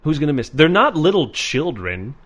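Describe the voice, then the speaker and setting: A man co-hosting a podcast in casual chat, loud and booming, trading sarcastic jokes. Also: sing-song, normal speed. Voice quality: booming voice that carries. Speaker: podcast co-host.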